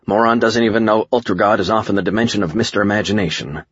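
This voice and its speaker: A man reads the part of a tough young superheroine with little patience. The words come in a husky, wry grumble, lifted somewhat from narration, with a slightly dull close sound. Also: fairly low pitch, steady tone, fast talker, a bit bright. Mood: grumbling. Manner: muttering, growling, grumbling.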